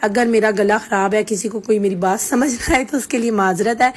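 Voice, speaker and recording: A hoarse woman with a sore throat, vlogging casually and earnestly giving her opinion straight to her viewers. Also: mid-range voice, fairly even intonation, fairly quick. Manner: chatty and earnest, addressing viewers directly.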